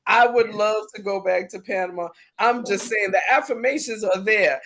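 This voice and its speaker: A woman's voice: mid-range voice, moderate pace, a bit bright, a little hiss or hum. A woman with an American accent co-hosting a podcast in casual, slangy talk, emphatic and often repeating her phrases. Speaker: podcast co-host. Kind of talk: casual chat.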